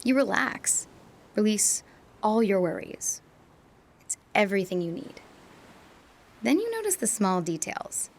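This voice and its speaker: A woman's voice: medium pitch, close to an everyday voice. A woman playing a wistful young adult back home from university, speaking in a reflective, confessional radio drama monologue.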